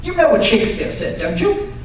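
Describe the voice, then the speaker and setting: A woman plays a dapper young gentleman archaeologist, very high-pitched and pleased with himself, in a vintage radio sponsor spot. The delivery is chatty and polished, heard from afar in a strongly echoing hall. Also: sing-song, fairly quick. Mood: jaunty, pleased. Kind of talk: 1940s radio sponsor advertisement acting. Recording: echoey hall from far off, faint noise.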